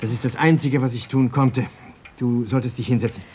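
An actor in a German radio crime drama playing a composed but defensive doctor, measured and insistent. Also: male voice, mid-range voice, steady tone, a little mellow, lightly acted. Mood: calm. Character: composed, defensive male doctor under suspicion. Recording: noisy mono archive radio recording.

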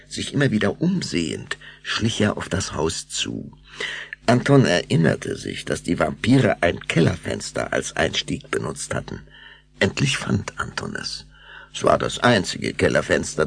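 A man's voice: low voice, vocal fry, fairly bright tone, slightly rough. A man narrating a children's radio play as a theatrical, suspenseful storyteller. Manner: suspenseful, theatrical, shifting to whispers.

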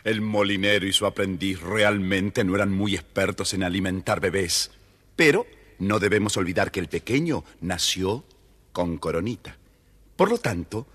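A man narrating a children's fairy tale as a theatrical, husky-voiced storyteller. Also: deep voice, fairly bright tone. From Argentina.

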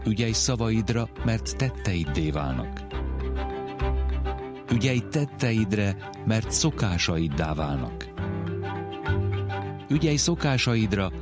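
Low-voiced guy hosting radio, very varied intonation, measured scripted delivery. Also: very animated, over music. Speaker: radio presenter. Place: radio studio.

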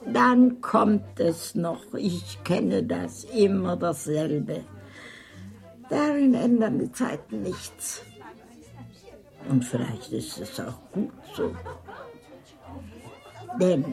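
Slow, creaky old grandmother. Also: mid-range voice, mellow, heavily acted. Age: older. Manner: knowing, sententious, confiding. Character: old grandmother, worldly, frank, pious counsellor to young wives. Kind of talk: German literary radio drama acting.

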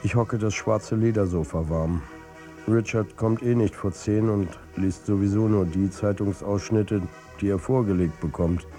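A man's voice: on the low side, unhurried, dark tone, faint music behind, natural voice. An actor playing a troubled football coach and family man, narrating his own story in a confiding way in a radio drama. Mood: tense, shaken. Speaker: narrator and lead character.

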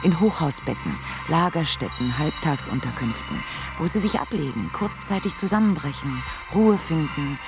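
A fairly high male voice serves as ironic narrator in a radio play. He lays out observations in clipped, listed phrases over clear music. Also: lively intonation, normal speed, hardly any pauses. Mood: detached. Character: ironic observer narrating rootless globe-trotting managers. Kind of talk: scripted radio drama narration. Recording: music behind the voice.

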